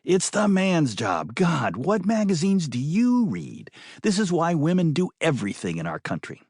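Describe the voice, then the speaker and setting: A man's voice: fairly high pitch, huge pitch swings, moderate pace, a little mellow, husky, no room sound, studio quality, voice pushed up, full character voice. A man voicing a bossy, scolding woman in an audiobook, lecturing emphatically like an exasperated ex-girlfriend. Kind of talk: audiobook character voice.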